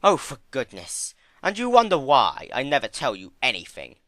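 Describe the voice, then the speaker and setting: A man's voice: medium pitch, wildly swooping pitch, normal speed, fairly bright tone, no room sound, pitched above the performer's own voice. A man voicing a scheming male trickster creature in a theatrical dramatic storybook reading. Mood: exasperated. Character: Discord, sly male trickster creature with talons. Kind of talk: dramatic fan fiction reading.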